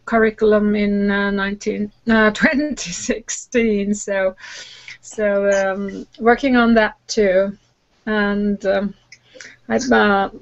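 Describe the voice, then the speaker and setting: Finnish-accented woman, halting, slightly dull sound. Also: medium pitch, no echo. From Finland. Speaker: co-host, education professional. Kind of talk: informal self-introduction. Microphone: online video call. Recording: slightly dull online video call.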